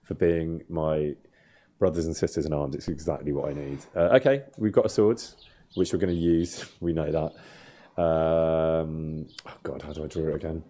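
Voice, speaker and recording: Man, livestream host, low pitch, soft dark tone, casual hesitant chat. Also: fairly even intonation, normal speed. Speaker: streamer and host of a gaming livestream. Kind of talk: casual livestream chat while playing a game.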